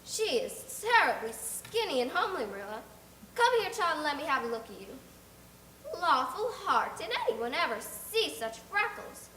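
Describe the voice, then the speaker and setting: A woman's voice: high voice, very animated, normal speed, bright, forceful tone, roomy sound, a little hiss or hum, pitched above the performer's own voice, exaggerated voice, poor audio. A woman doing a blunt, gossipy older neighbor. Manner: outspoken and emphatic. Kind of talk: audiobook character reading.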